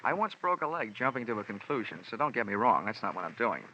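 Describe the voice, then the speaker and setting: Wry radio detective, muffled vintage recording. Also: male voice, on the low side, very animated, fast talker, bright, forceful tone, a little hiss or hum. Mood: needling, cool. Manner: wry, clipped, slangy delivery. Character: wisecracking hard-boiled male insurance investigator, brisk and unflappable. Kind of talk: old-time radio detective acting with first-person narration. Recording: dull old radio recording, music behind.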